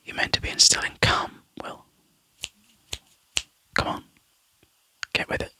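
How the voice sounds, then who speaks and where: A Scottish man chatting to viewers in a soft, rambling ASMR whisper, close to the mic. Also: unhurried, no echo. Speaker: ASMR YouTuber.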